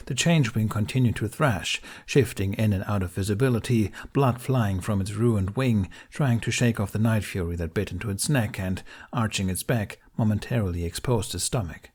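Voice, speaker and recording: A man's voice: lowish voice, normal speed, hardly any pauses, darkish tone, slightly rough. A man reading an audiobook of fantasy fiction as a dramatic, intense narrator.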